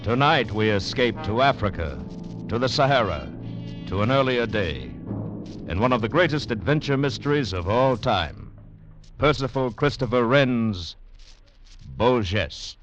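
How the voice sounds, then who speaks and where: A man's voice: mid-range voice, lively intonation, lightly acted. A man announcing an adventure program in a theatrical, ominous 1940s radio drama style. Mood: grand, dramatic. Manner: ominous, theatrical, deliberate phrasing. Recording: muffled 1940s radio recording with music.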